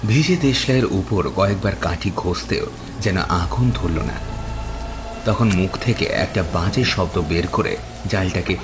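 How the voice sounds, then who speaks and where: A man's voice: low-pitched, moderate pace. A man narrating a scripted horror story in a suspenseful, dramatic storytelling manner. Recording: clear background ambience noise.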